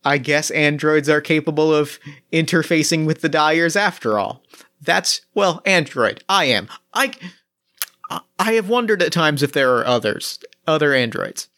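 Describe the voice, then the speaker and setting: A man voicing a male android test pilot who speaks in a halting, measured and oddly formal way. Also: a little high, expressive, sharp tone. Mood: earnest.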